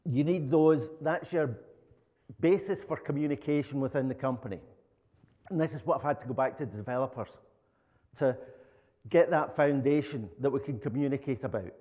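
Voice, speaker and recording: Middle-aged man, Glaswegian accent, mid-pitched voice, informal conference speaker, long hall reverb. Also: moderate pace, mellow. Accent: Glaswegian Scottish. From Glasgow, Scotland. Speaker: conference speaker, lead software architect. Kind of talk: conference presentation. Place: conference room, indoors.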